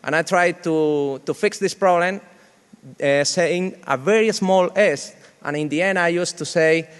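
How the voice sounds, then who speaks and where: A man from Spain with a Spanish accent, whose first language is Spanish, giving a humorous conference talk in a halting, joking way with many restarts. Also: on the high side, smooth. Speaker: conference speaker. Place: large conference hall. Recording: reverberant hall sound.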